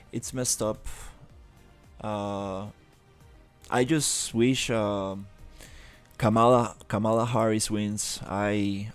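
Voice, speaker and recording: A young man from Rica, chatting casually on a live stream, halting, with many fillers and restarts. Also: fairly low pitch, smooth. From Costa Rica. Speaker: livestreamer.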